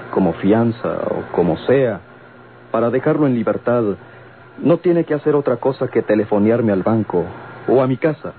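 An actor playing a courteous, composed gentleman with a Mexican accent and formal, measured manner in an old radio drama. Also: medium pitch, natural voice. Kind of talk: golden-age Mexican radio drama acting. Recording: tinny, noisy old radio recording.

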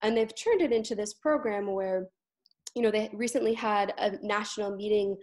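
A woman's voice: mid-range voice, moderate pace, a little mellow. Young woman explaining on a panel call. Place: indoor room on a video call. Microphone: video call.

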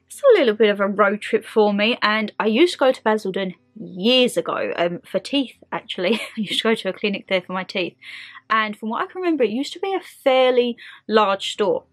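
Croaky chatty young woman. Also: a little high, no echo. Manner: chatty, rambling, giggly. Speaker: shopping vlogger. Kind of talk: chatty vlog talk and voiceover.

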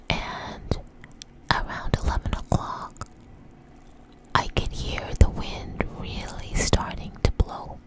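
A woman speaks in a breathy whisper, right up close to the microphone, as an ASMR host. She tells a personal story without hurry, pausing and repeating phrases as she goes. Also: poor audio. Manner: unhurried, halting, repeats phrases.

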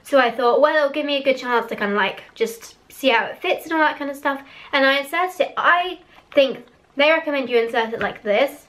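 Hoarse teen girl, fairly high voice, chatty and candid, bedroom webcam vlog. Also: brisk, fairly bright tone. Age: teens. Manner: chatty, candid, a little giggly. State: hoarse, voice cracking after a cough. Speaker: vlogger reviewing products. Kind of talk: casual chat to camera. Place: small bedroom with posters on the wall. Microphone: webcam in front of her face.

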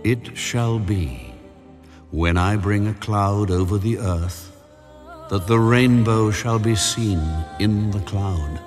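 God as a solemn, commanding deity in a dramatized audio scripture. The voice is very deep, and each weighty pronouncement comes slowly over soft music. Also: male voice. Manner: slow, measured, grave pronouncements.

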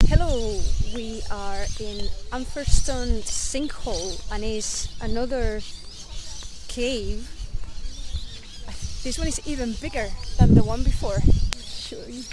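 A woman's voice: on the high side, takes it slowly. A woman in her thirties, an English speaker, chatting to a handheld camera at arm's length while travelling outdoors. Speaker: travel vlogger. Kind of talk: casual travel vlog. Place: outdoors and in a car on a road trip. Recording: noisy outdoor camera audio.

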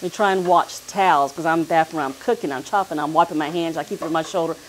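A woman's voice: sits low, fast, rough recording. A middle-aged American woman chatting casually as a guest cook in a kitchen. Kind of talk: casual chat. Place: firehouse kitchen, indoors. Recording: faint kitchen noise, sizzling.

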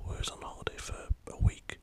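A man from the north of England with a northern English accent, whispering a rambling, chatty football talk for an ASMR channel. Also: brisk. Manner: rambling, chatty, swears mildly. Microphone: camera set up in front of him.

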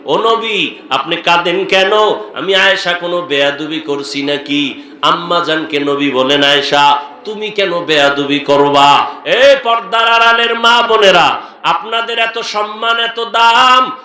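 A man's voice: high voice, sharp tone, a little hiss or hum. A man preaching an Islamic sermon with emotional appeals, stretching his calls into melodic chant. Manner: emotional exhortation, direct appeals to listeners, drawn-out melodic calls. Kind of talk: fervent religious sermon, partly chanted. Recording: echoing amplified sermon sound.

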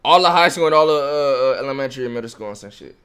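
A man live-streaming his reactions with loud, jokey slang and frequent laughter. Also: mid-range voice, lively intonation, very fast, clear, resonant tone. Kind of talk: casual live-stream commentary.

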